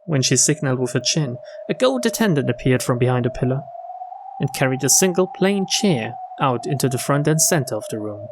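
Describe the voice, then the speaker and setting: A man's voice: mid-range voice, lively intonation, fairly quick, background noise. A man streaming a game, reading story text aloud and adding casual comments. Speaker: streamer and narrator.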